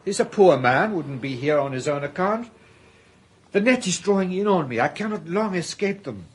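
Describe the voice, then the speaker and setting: An actor playing a frightened, hunted islander in hiding, who talks in an anxious, pleading way with halting repetitions and a husky voice. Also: male voice, high voice, lively intonation. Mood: fearful. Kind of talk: radio drama acting.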